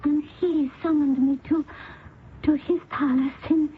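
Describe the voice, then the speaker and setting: An actress playing a dying young Indian princess who speaks faintly and haltingly. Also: female voice, high-pitched, fairly even intonation. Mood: fading, devoted. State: weak, dying. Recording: old radio broadcast, phone-like band with faint hiss.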